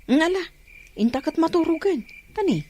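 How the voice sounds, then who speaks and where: A woman's voice: very high-pitched, very animated, moderate pace, very noisy. A woman playing a caring, teasing older-sister confidante with an Ilocano Filipino accent in a comedy radio drama. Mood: teasing, concerned.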